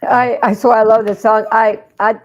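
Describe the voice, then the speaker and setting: Raspy-voiced chatty woman host. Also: on the high side, little pitch movement, normal speed, lo-fi sound. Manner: chatty, giggly, rambling with fillers. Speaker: talk show host. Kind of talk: casual interview chat.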